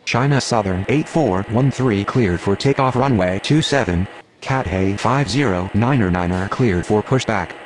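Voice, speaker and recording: A man's voice: lowish voice, normal speed, close to an everyday voice. A man playing a pilot and air traffic controller, giving formal, clipped radio callouts in standard aviation phraseology. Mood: businesslike.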